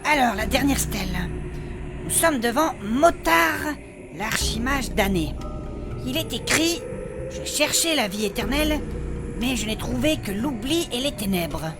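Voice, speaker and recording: Woman acting a sensible, high-voiced adventurer. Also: lively intonation, measured pace. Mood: solemn reading. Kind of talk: comedic fantasy audio drama acting. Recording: music behind the voice.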